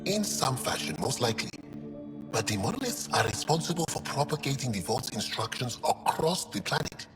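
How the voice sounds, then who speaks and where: A man's voice: medium pitch, sing-song, normal speed, close to an everyday voice. An actor playing an earnest, thoughtful male alien scientist in a video game, explaining things a little hesitantly. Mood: earnest, concerned. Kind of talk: video game dialogue acting. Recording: long reverb, music underneath.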